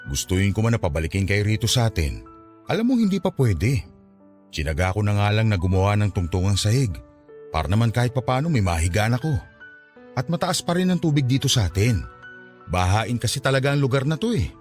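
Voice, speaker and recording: A man playing a gentle, devout, poor middle-aged father consoling his child in a Tagalog radio drama. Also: fairly low pitch, sing-song, fairly quick, faint music behind. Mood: patient.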